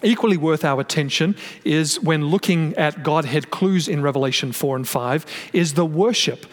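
A man's voice: on the high side, normal speed. Man preaching in a reverberant hall. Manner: teaching from notes, explanatory, with frequent restarts. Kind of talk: sermon. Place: church hall with a sound system. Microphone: microphone through a PA system.

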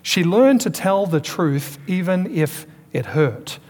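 A man's voice: high voice, expressive, a little mellow. A man preaching a Sunday sermon to a congregation, stressing key words and putting questions to the listeners. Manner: preaching with emphatic pauses and calls to the congregation. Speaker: pastor. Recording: long hall reverb.

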